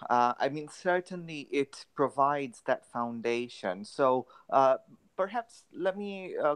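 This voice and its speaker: Smooth-voiced man explaining carefully. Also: mid-range voice. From Tanzania. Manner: measured, explanatory, many "uh" fillers. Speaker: guest, actuary. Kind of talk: radio interview answers.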